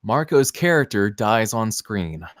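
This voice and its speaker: A man's voice: fairly low pitch, sing-song, unhurried, no room sound, clean studio sound. A man narrating screenplay stage directions at a table read in a dramatic, punchy way.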